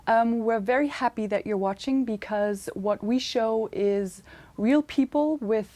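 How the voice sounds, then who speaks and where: A woman hosting an interview show, speaking slowly and deliberately with many "um"s and giving frequent "mm-hmm"s while her guest talks. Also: on the high side, dark tone. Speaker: host.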